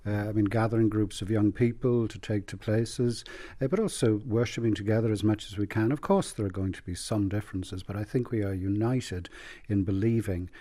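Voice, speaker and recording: A man with a Dublin Irish accent, an archbishop speaking in an earnest, measured way in a conversational joint address. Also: lowish voice, dark tone. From Ireland.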